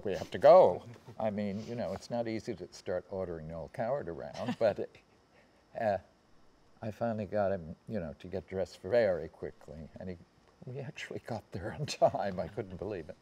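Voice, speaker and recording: An American man in his seventies reminiscing in anecdotes on a panel, haltingly, often trailing off and circling back. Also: deep voice, animated, dark tone, breathy edge. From United States. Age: 70s. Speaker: panel guest recalling personal memories. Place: seated on a theatre stage.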